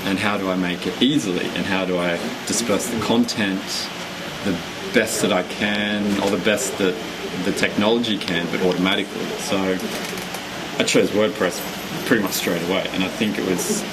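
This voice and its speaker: A man, a guest chatting casually in an interview in a hallway at a convention event, talking in a relaxed, rambling way with fillers. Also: sits low, normal speed. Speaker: guest blogger. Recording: loud background hum, air conditioning.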